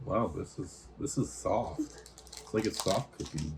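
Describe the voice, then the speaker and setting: A man's voice: lowish voice, animated, measured pace, background noise. A man hosting a casual snack taste-test, reading out product notes and stumbling over foreign names. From United States.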